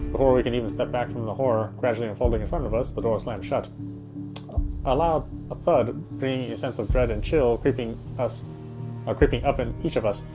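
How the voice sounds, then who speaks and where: A man reading a horror game's story aloud as dramatic narration, sometimes stumbling and rereading phrases. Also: mid-range voice. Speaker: game player reading story text aloud. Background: game music.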